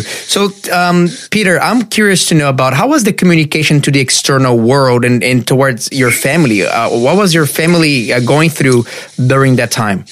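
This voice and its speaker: A man's voice: medium pitch, fairly bright tone, slight background noise. A man hosting an interview, asking questions hesitantly with fillers. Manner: hesitant, searching for words. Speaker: host.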